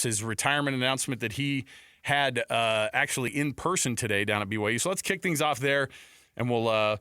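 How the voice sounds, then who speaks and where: An American man hosting conversational sports talk radio, thinking aloud with halting repeats on a close studio mic. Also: medium pitch, pushed and bright, smooth, no room sound. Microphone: close studio broadcast mic.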